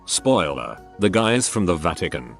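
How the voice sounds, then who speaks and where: A man narrating a string of short online stories as scripted readings, in a steady, even manner. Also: low voice, a little slow, gravelly, faint music behind. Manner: steady, even reading of short anecdotes one after another.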